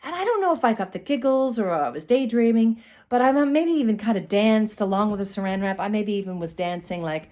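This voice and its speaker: A middle-aged woman telling a personal comic story to camera in a theatrical, expressive way with dramatic pauses. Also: mid-range voice. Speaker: storyteller, channel host. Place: indoors, small curtained room. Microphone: phone at arm's length.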